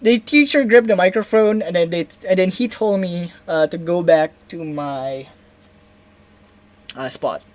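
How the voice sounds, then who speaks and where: A woman's voice: fairly low pitch, measured pace, darkish tone. A young woman from Indonesia with an Indonesian accent, telling a story in a casual vlog, rambling, stopping to correct herself and often asking "right?". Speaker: vlogger.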